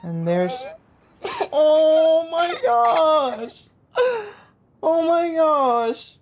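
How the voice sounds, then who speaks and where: Gamer reacting to a game, slangy n emotional, slow drawn-out bits, pitch all over, music behind. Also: lively intonation. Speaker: video game streamer. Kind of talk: casual gameplay reaction commentary.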